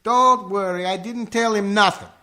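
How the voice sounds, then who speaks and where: A woman's voice: mid-range voice, normal speed, pushed and bright, lightly acted. A woman acting a chatty, needling restaurant partner of a mobster in a comedic radio play, earnest and nagging. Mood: eager, earnest.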